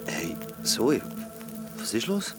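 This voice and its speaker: A man's voice: mid-range voice, very animated, takes it slowly. A man acting a young male character in a mystery audio drama, with music behind him. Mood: puzzled.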